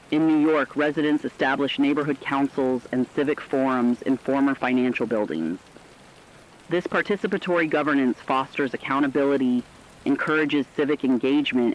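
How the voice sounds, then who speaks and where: A man reading a scripted, dramatized radio news report as an anchor in a formal, measured way. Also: mid-range voice. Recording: slightly dull, band-limited radio sound.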